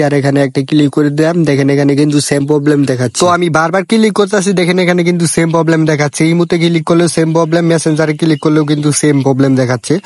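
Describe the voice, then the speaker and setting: A man's voice: fairly high pitch, a little mellow, no echo, studio quality. A man with a Bangladeshi accent narrating an informal phone app tutorial, instructing step by step in a repetitive way. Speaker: tutorial host.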